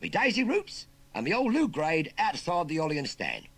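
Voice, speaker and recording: A chirpy young Cockney street urchin, cheeky and quick with his rhyming slang. His voice jumps up high and swoops about brightly. It is a husky, breathy storybook voice. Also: wildly swooping pitch, exaggerated voice. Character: perky scruffy Cockney boy urchin, cheeky.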